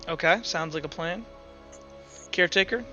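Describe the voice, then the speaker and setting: Male game master, casual, slightly high bright voice, muffled audio, light music behind. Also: moderate pace. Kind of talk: running a tabletop roleplaying game, casual.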